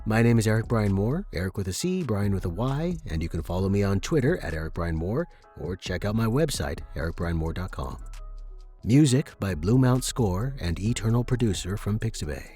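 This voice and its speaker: A man's voice: on the low side, sing-song, brisk, dark tone, husky, soft background music. A man narrating a scripted first-person story as a podcast host.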